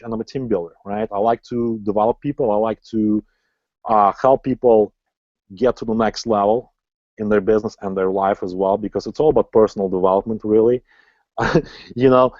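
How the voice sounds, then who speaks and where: A man's voice: on the low side, a little mellow, no echo. A man in his forties who is not a native English speaker, giving a motivational sales webinar as an online marketing coach and often ending lines with "right?". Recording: slightly dull lo-fi audio.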